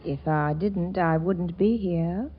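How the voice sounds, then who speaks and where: An actress playing a sly, coquettish aristocratic bride feigning airy innocence, cooing theatrically in a 1940s radio comedy. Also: female voice, fairly low pitch, slow pace. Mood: cooing, seductive. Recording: noisy, muffled 1940s radio recording.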